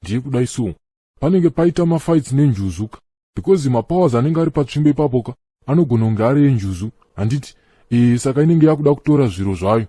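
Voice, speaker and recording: A man's voice: medium pitch, expressive, quick pace, warm tone, slightly rough, no room sound, studio quality. A man voicing a preachy Zimbabwean church elder. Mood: lecturing. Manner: lecturing, earnest, sermonizing. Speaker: cartoon character, friend of Chikombera. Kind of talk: comic cartoon dialogue.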